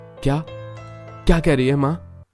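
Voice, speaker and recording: A man's voice: a little high, fairly even intonation, measured pace, mellow, natural voice. An earnest young husband and devoted son voiced by a man in a Hindi cartoon story. He speaks in exclamations, with faint music underneath. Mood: shocked.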